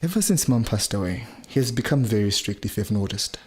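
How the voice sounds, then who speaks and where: A man playing a fair-minded schoolboy who gently challenges his brother in a radio drama. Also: on the low side, expressive, brisk, close to an everyday voice. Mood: reflective.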